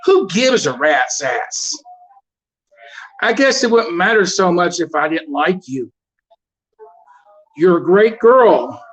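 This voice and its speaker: A man performing a monologue as an exasperated, fatherly high school teacher, scolding and sardonic, over a video call. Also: a bit bright, full character voice, low-quality recording.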